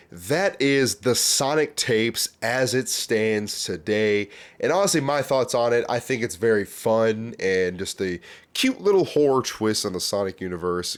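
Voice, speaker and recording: A man giving informal, slangy, giggly reaction commentary as an online video host. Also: on the low side.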